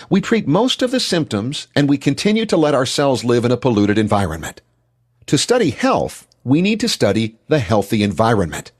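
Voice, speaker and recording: A male narrator delivers scripted, instructional material in a middle-pitched voice. His tone has a slightly rough, husky texture. The pitch moves widely as he stresses key words with deliberate emphasis.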